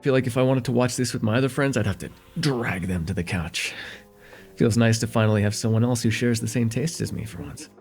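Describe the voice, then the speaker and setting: A man's voice: medium pitch, brisk, darkish tone, soft background music, close to an everyday voice. A young man playing a friendly, forgetful host in a slice-of-life ASMR roleplay, chatting casually and hesitantly with a close friend at home. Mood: casual, a bit awkward. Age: young adult. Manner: hesitant, rambling, chuckling, easygoing.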